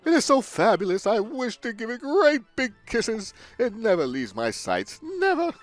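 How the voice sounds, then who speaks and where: A man's voice: extremely high pitch, wildly swooping pitch, normal speed, soft background music, voice pushed up, exaggerated voice. A man voicing a flamboyant, vain rich male trader, reading his lines in a theatrical put-on accent. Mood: dramatic. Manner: theatrical, exclamatory, mock Italian-style accent put on. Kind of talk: reading game dialogue aloud in a put-on voice.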